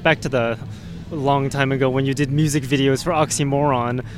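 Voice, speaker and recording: A man hosting a punk radio show, interviewing a guest in a relaxed, chatty way and laughing often. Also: mid-range voice, moderate pace.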